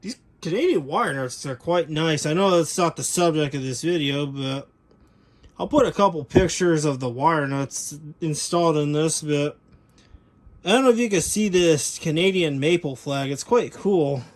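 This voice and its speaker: Higher-voiced man, casual hobbyist host. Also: expressive, normal speed. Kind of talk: casual hands-on gear review talk.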